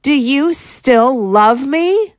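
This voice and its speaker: Fed-up, hurt wife, higher-pitched, closely recorded woman acting. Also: expressive, extremely slow, dry recording, studio quality, full character voice. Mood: hurt, resolute. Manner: pleading yet accusatory. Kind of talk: dramatic audio screenplay acting.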